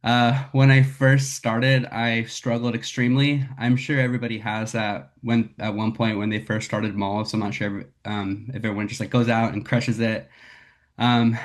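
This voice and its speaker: Raspy young man with a cold. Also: medium pitch. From Northern California. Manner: candid, filler-heavy, says "like" and "um" a lot. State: raspy from getting over a cold. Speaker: sales rep presenting to a team. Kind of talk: casual talk on a video call.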